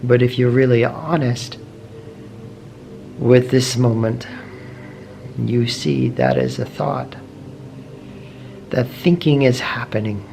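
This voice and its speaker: A man leading a guided meditation in a gentle, measured way, pausing often between short phrases. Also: mid-range voice, expressive, vocal fry. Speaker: meditation teacher. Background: soft meditation music.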